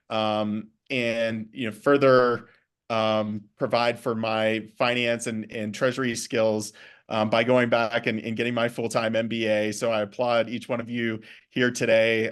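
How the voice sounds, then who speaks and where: A man speaking as a guest in a class talk, pausing often with ums and restarting words. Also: medium pitch. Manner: hesitant, many ums and restarts. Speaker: guest speaker, bank vice president.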